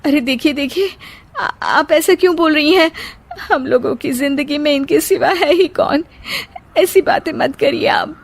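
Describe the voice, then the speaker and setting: Woman acting a distressed, high-pitched pleading mother. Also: unhurried, vocal fry. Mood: tearful, pleading. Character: distressed, indignant middle-aged mother of the family. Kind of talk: Hindi moral story dramatization.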